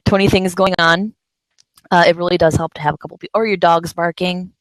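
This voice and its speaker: Woman hosting a web chat, kinda low voice, rambles a lot, super dry sound. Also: fairly even intonation, brisk, no room sound, poor audio. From San Francisco Bay Area. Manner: rambling, many fillers and restarts. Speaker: host of a live video chat. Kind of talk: casual chat.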